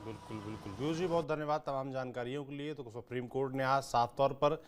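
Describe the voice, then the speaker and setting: A male news anchor speaks in a medium-pitched voice. He presents and sums up news for viewers in an explanatory broadcast style. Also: fast talker, a little hiss or hum.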